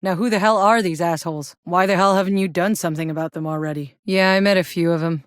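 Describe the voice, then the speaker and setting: A woman's voice: fairly low pitch, fairly even intonation, fast talker, no room sound, clean studio sound, lightly acted. A woman playing a tough, sardonic, battle-hardened soldier in a sci-fi video game, with a wry and blunt way of talking.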